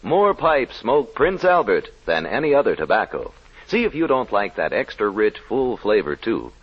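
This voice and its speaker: A male pitchman from 1940s radio advertising. He sells in punchy phrases with a very animated pitch and a husky tone. The old recording is dull and lo-fi. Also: moderate pace. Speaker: commercial announcer. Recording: muffled vintage radio recording.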